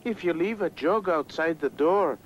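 A man's voice: fairly high pitch, moderate pace, lightly acted. A man voicing a naive, eager young pop musician in a cartoon. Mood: earnest. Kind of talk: 1960s animated cartoon acting. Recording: dull, noisy old cartoon soundtrack.

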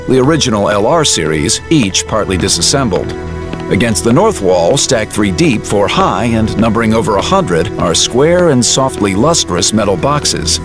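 Low, animated male drama narrator over music. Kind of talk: scripted audio drama narration.

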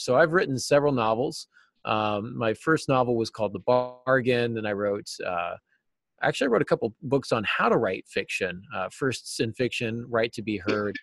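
A man's voice: medium pitch, creaky, a bit bright, no echo. A middle-aged man speaking as an interview guest, explaining things casually like a teacher. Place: classroom, indoors. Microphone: computer mic on a video call.

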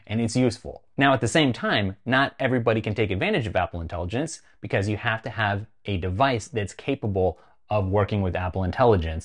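A North American man presents a tech explainer in a fairly low voice. His delivery is casual and conversational, with emphasis on key phrases, as a host talking to camera would sound. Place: small home studio room, indoors.

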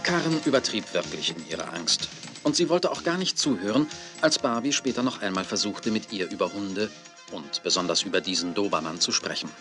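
A man's voice: lowish voice, animated, a little fast, music in the background. A man narrating a scripted children's story as the narrator of an audio drama.

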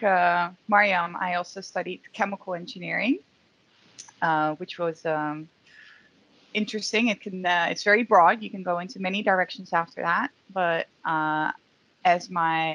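A woman from the Netherlands, a safety engineer, talking in a relaxed, plain way on a video call. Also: fairly low pitch, dull audio. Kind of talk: casual video-call chat.

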